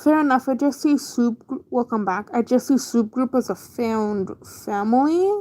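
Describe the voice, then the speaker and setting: A woman's voice: fairly high pitch, moderate pace, warm tone. A woman chatting casually on a livestream as she works, in a laid-back way with trailing half-thoughts. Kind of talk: casual livestream chat while drawing.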